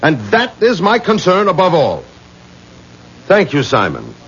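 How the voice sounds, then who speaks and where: Middle-aged male patriarch: resolute and courteous, animated pitch, muffled old radio-play sound. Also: measured pace, breathy edge, heavily acted. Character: proud, firm middle-aged father of a bride. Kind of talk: 1970s radio drama acting. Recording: muffled vintage radio recording.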